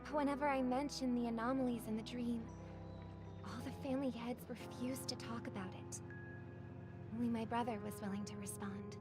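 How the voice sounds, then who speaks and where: A gentle young songstress from a game, acted by a woman. Her voice is high and poised, with measured, earnest, formal phrasing over clear background music. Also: steady tone, close to an everyday voice. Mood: grave, reflective. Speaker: game character voice. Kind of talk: scripted game dialogue.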